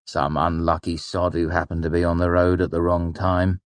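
A man's voice: low-pitched, completely flat delivery, normal speed, no echo, natural voice. A man voicing a tired, stoic male innkeeper with a dry, dismissive manner, in a fantasy storybook.

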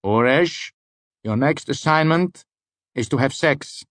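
A man's voice: medium pitch, takes it slowly, a bit bright, no echo, voice pushed up. A man voicing an eccentric, imperious university lecturer in an audiobook, abrupt and dismissive, with a theatrical manner. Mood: dry, unimpressed. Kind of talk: audiobook character dialogue.

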